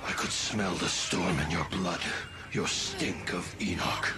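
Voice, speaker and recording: Deep, slow, menacing warlord. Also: male voice, in a whisper. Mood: cold contempt. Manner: slow, ominous, drawn-out delivery. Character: menacing superhuman male warlord, cold and contemptuous. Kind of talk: video game cutscene acting. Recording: loud music over the voice.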